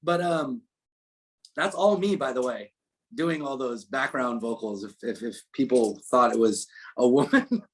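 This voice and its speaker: A man in a casual interview, telling stories as a musician guest in an animated, unpolished way. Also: medium pitch, measured pace, breathy edge, poor audio. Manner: animated storytelling, frequent profanity and filler phrases.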